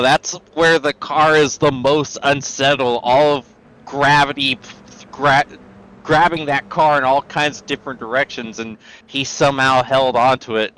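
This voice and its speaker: A man with a hoarse voice giving analytical color commentary on a sim race. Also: mid-range voice, steady tone, moderate pace, a bit bright, rough recording. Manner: analytical, explains car handling.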